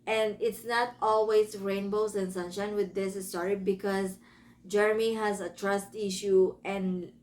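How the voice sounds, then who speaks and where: A woman's voice: medium pitch, little pitch movement, measured pace, darkish tone. A woman from the Philippines with a Filipino accent, speaking English as a second language, gives a casual book review, rambling and correcting herself as she retells a story. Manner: rambling, self-correcting, breaking off into asides.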